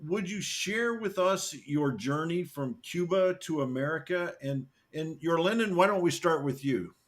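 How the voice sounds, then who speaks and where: An enthusiastic man hosts an interview podcast, his voice rising and falling in a lively, animated way. He opens with a scripted introduction and then moves into relaxed questions for his guests. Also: fairly high pitch, unhurried. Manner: upbeat, enthusiastic, lively delivery. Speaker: podcast host. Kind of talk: hosted interview.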